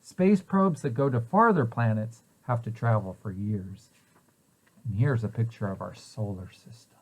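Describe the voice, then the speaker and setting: A man's voice: on the low side, normal speed, breathy edge. A man reading a children's picture book aloud in an explanatory way, posing questions and then answering them. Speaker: preschool site director reading aloud to children.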